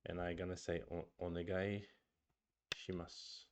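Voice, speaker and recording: A man's voice: low-pitched, little pitch movement, normal speed, noisy background. A man streaming a review of his own go games, thinking aloud in a casual chat with murmured asides and restarts; his first language is Swedish.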